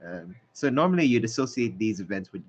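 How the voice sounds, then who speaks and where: A young man hosting a casual podcast, talking in a relaxed conversational way with some fillers. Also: lowish voice, fairly quick. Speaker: podcast host. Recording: dull lo-fi mic.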